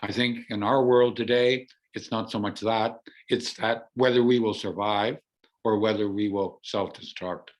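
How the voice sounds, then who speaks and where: A hoarse man from Canada with laryngitis, an artist earnestly explaining his artwork over a video call. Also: fairly low pitch, fairly even intonation, a little slow.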